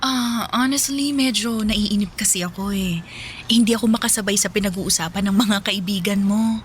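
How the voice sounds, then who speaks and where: A woman's voice: mid-range voice, normal speed, slight background noise, natural voice. A woman acting as an older girlfriend of a younger man in a Filipino radio drama, talking casually. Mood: apologetic, sweet. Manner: casual, conversational, girlfriend talk. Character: older liberated-yet-modest woman dating a younger man. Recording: music behind the voice.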